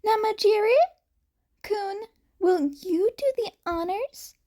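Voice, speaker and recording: A woman's voice: very high voice, slow pace, warm tone, pitched above the performer's own voice. A woman voicing a gleeful, scheming small male creature who runs a school, theatrical and polite, in an audio story.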